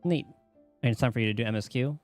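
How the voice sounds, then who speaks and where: A man giving casual live commentary as he streams a game, thinking aloud in a halting way. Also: mid-range voice, darkish tone, soft background music.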